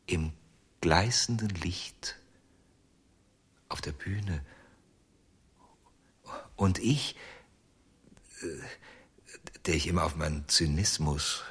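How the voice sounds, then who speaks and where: Radio crime drama: haunted, jealous ventriloquist. Deep, breathy, very slow and halting delivery. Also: male voice, a bit bright, heavily acted. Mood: halting, tormented. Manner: halting, haunted, breaking into near-whispers. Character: anguished, jealous male ventriloquist confessing to lost love.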